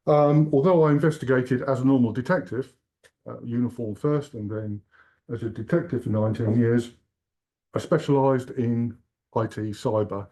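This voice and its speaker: A man's voice: mid-range voice, dark tone. Older Englishman joking through a keynote. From England. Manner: joking, self-deprecating, chatty. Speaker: conference keynote speaker. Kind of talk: humorous conference talk. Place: conference hall.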